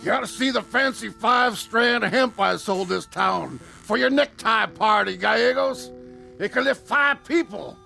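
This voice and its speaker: Gravelly, taunting Old West peddler. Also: male voice, very high voice, a little slow, sharp tone, full character voice. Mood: gleefully taunting. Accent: American. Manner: folksy, goading, drawling. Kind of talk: radio drama acting. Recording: music underneath.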